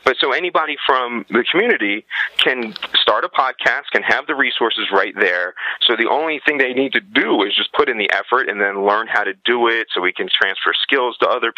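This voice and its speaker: Man, sharp tense tone, casual interview talk, emphatic, close dry sound, slightly muffled audio. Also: medium pitch, dry recording. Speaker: podcast guest, activist.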